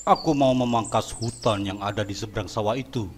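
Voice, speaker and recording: A man's voice: fairly low pitch, brisk, noisy background, pitched below the performer's own voice, natural voice. Man putting on a greedy, scornful village boss. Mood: scheming. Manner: scornful, bossy. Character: greedy arrogant male village head landlord.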